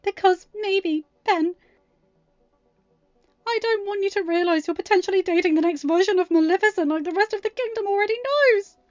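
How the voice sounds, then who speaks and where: Woman doing a high, distressed teenage girl. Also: moderate pace, no echo. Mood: upset, snapping. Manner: pleading, soft then snapping. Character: distressed, self-doubting teenage girl, guarded and exhausted. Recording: dull lo-fi audio. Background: faint music.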